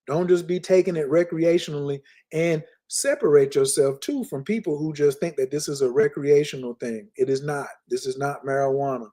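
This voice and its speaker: A man teaching in an emphatic, lecturing way on a casual podcast, repeating key words slowly. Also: fairly high pitch, fairly even intonation, dark tone. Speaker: spiritual teacher. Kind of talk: casual podcast talk, preaching.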